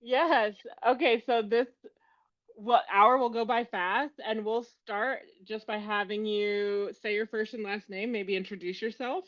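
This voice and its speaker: A woman interviews a guest as a podcast host. Her style is casual and conversational, with a mid-range pitch. The recording sounds slightly dull and lo-fi. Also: fairly even intonation, unhurried, dry recording.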